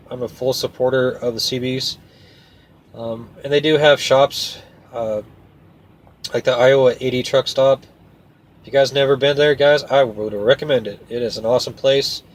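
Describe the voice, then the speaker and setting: A man talking casually to viewers as a trucker vlogger, in a plainspoken, folksy, hesitant way. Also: mid-range voice. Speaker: trucker and video host. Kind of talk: casual rambling vlog talk to viewers. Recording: clear steady background noise.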